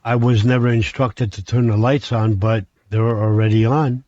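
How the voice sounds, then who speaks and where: A man from Hungary, talking a train simulator through step by step, halting and restarting his sentences. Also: on the low side, level tone, dark tone, no room sound, lo-fi sound. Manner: halting, with frequent restarts, talks through each control step. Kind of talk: gameplay commentary.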